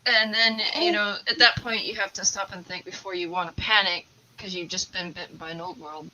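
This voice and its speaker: A woman's voice: medium pitch, fairly even intonation, fairly quick, sharp tone, slight background noise, low-quality recording. A woman whose first language is English, a tarantula keeper chatting casually as a guest on a live stream and explaining things at length. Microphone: video call.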